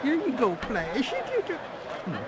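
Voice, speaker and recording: A man's voice: extremely high pitch, wildly swooping pitch, unhurried, crowd noise, heavily acted. A man playing a bumbling, stammering toady of a sheriff with a Southern US accent in a game. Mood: flustered. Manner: stammering, whiny, flustered.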